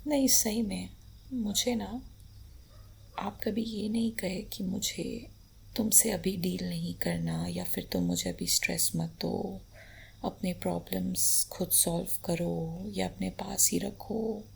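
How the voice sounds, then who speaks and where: Woman playing a slow, creaky-voiced, affectionate wife. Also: lowish voice, fairly even intonation, full character voice. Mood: tender, earnest. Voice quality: breathy half-whisper. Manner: tender, lightly teasing, unhurried. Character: affectionate, playful, devoted Indian wife adoring her husband. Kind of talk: intimate romantic roleplay ASMR. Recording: faint background noise.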